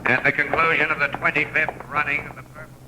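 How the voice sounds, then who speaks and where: An actor playing a formal racetrack announcer making public-address announcements in a vintage radio drama. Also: male voice, fairly high pitch, little pitch movement, quick pace, background noise, lightly acted. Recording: old radio recording with loud crowd.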